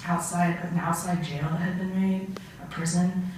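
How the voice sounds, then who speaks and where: A woman's voice: lowish voice, steady tone, a little mellow, close to an everyday voice. Woman playing hesitant middle-aged man, echoey room. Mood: somber, halting. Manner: halting, hesitant, frequent ums and pauses. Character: humble 47-year-old Syrian immigrant man, painting contractor on witness stand. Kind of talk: amateur mock trial testimony. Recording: echoey room, far from mic, faint noise.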